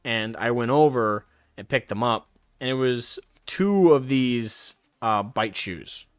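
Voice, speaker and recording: Smooth-voiced man chatting on a stream. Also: medium pitch, expressive, slow pace, no echo, clean studio sound. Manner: reads card text aloud, then rambles into anecdotes. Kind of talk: casual live stream chat.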